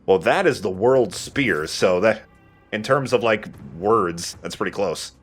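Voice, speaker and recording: A man's voice: on the low side, lively intonation, measured pace, soft background music. A man giving casual let's play commentary, explaining things and correcting himself as he goes.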